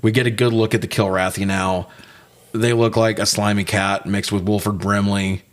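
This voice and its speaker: A man's voice: lowish voice, level tone, moderate pace. A man co-hosting a casual, comedic movie review, talking with sarcastic, profane joking. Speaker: podcast co-host reviewing movies.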